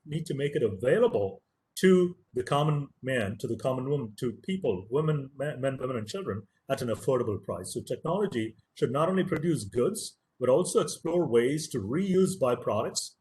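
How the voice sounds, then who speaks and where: Tamil-native guy lecturing on a webinar, earnest and emphatic, mid-pitched voice, leans hard on key words. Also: expressive, a little fast, a little mellow, a little hiss or hum, rough recording. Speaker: professor giving a webinar lecture. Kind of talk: motivational lecture.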